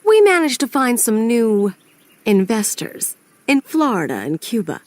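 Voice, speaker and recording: An actress with an American accent playing a determined, dry-witted adventurer heroine in a video game, plain-spoken and resolute. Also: female voice, on the high side, very animated, a little slow, no echo. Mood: upbeat. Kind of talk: dramatic video game voice acting and narration.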